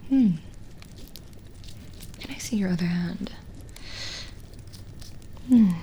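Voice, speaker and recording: A woman playing a lonely, gentle witch in an ASMR roleplay, speaking softly and soothingly, often slipping into a whisper. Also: medium pitch, gravelly, a little hiss or hum. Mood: thoughtful, curious. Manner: slow, hushed, soothing, with pauses. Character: lonely, gentle witch reading a guest's palm. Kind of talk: soft-spoken ASMR roleplay, partly whispered.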